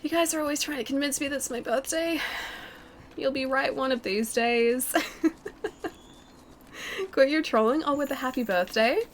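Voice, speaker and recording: A woman's voice: high voice, moderate pace, pauses often, slight background noise. A woman in her twenties giving casual live game commentary and chatting with viewers in a playful, giggly way. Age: twenty-eight. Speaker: streamer. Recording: game music behind the voice. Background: video game music.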